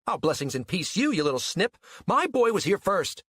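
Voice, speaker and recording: A man voicing a mean, scolding older woman, raised pitch. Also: very animated, normal speed, fairly bright tone, breathy edge, dry recording, studio quality, heavily acted. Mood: angry, indignant. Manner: snippy, aggressive scolding.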